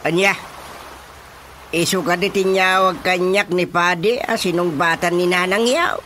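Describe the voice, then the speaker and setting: A man playing an excitable older father in a radio drama comedy, exclaiming and muttering, easily astonished and indignant. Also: high-pitched, a little slow, a little hiss or hum. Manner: exclamatory, full of muttered interjections.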